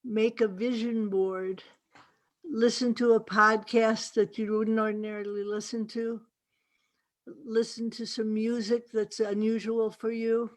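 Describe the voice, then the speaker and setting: Woman author, video call, very unhurried pace, deliberate and emphatic, pausing often. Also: fairly high pitch, little pitch movement. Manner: slow, deliberate and emphatic, with pauses. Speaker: author answering questions. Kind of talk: virtual book event conversation.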